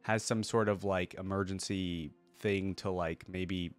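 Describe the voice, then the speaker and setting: A man's voice: low voice, steady tone, a little slow, faint music behind. A man giving casual, informal reaction commentary as a video host, thinking aloud as he goes.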